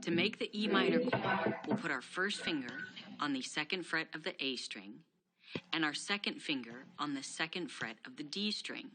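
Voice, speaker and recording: A woman giving a scripted guitar lesson, explaining steps clearly and steadily. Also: sits low, expressive, normal speed. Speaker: guitar instructor.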